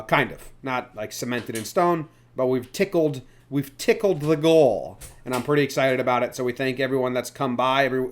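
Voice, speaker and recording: Casual male sports podcast host. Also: mid-range voice.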